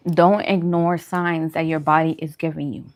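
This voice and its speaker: A woman's voice: low voice, level tone, moderate pace, darkish tone. A woman hosting a food vlog, chatting casually to viewers in colloquial slang and repeating phrases for emphasis. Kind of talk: casual chat to viewers during a mukbang livestream.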